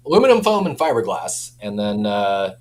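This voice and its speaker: A man's voice: fairly low pitch, sing-song, normal speed. A man chatting casually and enthusiastically about products as a company representative, in informal language. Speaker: audio company representative, guest.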